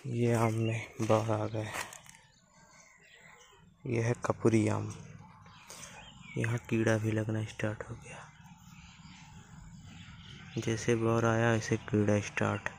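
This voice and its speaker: A man's voice: lowish voice, takes it slowly, gravelly, long pauses. A young man vlogging casually outdoors as he shows his village garden and explains the work. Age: young adult. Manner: relaxed, explains while showing his garden. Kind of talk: casual village vlog narration. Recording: noisy outdoor ambience.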